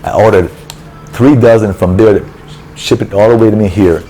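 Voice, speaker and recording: A man's voice: lowish voice, normal speed, faint music behind, rough recording. A man venting in a casual storytelling rant, acting out quoted back-and-forth with exasperation. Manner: exasperated, animated retelling with quoted dialogue. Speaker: travel vlogger. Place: apartment building in a city.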